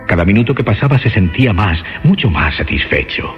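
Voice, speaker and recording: An actor narrating an eerie suspense radio play in a solemn, deliberate, dramatic manner with a Castilian Spanish accent. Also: male voice, lowish voice, very animated. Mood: measured. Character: grave storyteller of an eerie tale. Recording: dull radio sound under loud music.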